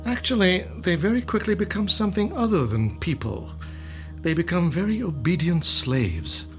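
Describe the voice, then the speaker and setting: A man playing a gloating, smug mad scientist villain in a radio drama, with soft laughs. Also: fairly high pitch.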